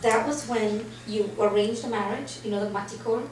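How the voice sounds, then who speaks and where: A woman from Trinidad telling her life story as an interview guest, animated, acting out the reactions she quotes. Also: a little high, moderate pace. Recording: roomy and distant, faint noise.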